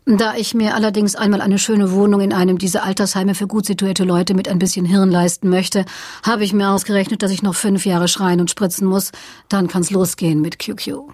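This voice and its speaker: A woman's voice: mid-range voice, level tone, a little fast, darkish tone, dry recording, studio quality, close to an everyday voice. A woman playing a flippant, world-weary writer of light novels, chatting wryly in a satirical radio dialogue. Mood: wry, breezy. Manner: wry, casually chatty.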